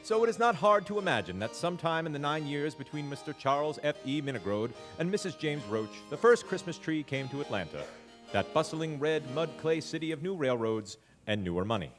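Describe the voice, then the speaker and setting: A man's voice: a little high, big pitch swings, a little fast, music in the background. An actor playing a genial, fumbling father who tells Christmas stories in a live stage audio drama. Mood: storytelling. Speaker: father and narrator. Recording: live hall recording with long reverb.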